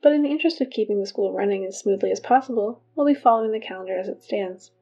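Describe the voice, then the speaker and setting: A woman's voice: mid-range voice, quick pace, warm tone, husky. A woman playing an exasperated school announcer in a fiction podcast, reading notices with dry, wry asides. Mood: brisk, official. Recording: dull lo-fi PA sound, music behind.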